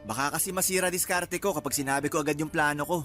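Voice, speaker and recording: An actor playing a cocky young Filipino boyfriend in a radio drama, a playful charmer who talks in breezy, joking teases. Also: male voice, fairly high pitch, steady tone, fast, sharp tone, faint music behind. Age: young adult.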